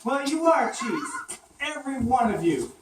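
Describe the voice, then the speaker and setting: A woman's voice: high voice, big pitch swings, a little slow, voice pushed up. A woman voicing a bold, kind-hearted male mouse in a puppet story, speaking earnestly and frankly. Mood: indignant. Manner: earnest, outspoken. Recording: echoey, distant mic, faint noise.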